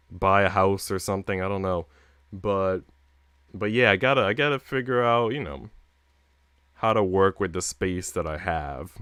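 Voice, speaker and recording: A man's voice: low voice, a bit bright, clear, resonant tone, no echo, clean studio sound. A man livestreaming, chatting casually with viewers in a rambling, slangy way with casual swearing. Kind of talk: casual chat with stream viewers.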